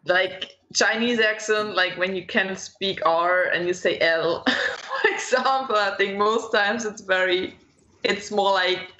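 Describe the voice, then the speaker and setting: A woman's voice: medium pitch, slow pace, sharp tone. A woman with a German accent, a guest in a casual chat, opinionated and giggly. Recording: roomy lo-fi sound.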